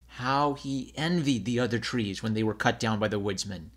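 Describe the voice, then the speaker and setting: A man narrates a children's tale from a script. His voice sits at an average male pitch, and he reads as a storybook narrator. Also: moderate pace.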